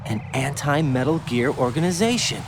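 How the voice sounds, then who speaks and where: A man's voice: on the high side, animated, moderate pace, noisy background, close to an everyday voice. A man playing an earnest, idealistic young soldier in a video game, speaking with searching hesitation. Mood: serious, questioning. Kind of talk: video game voice acting, radio conversation.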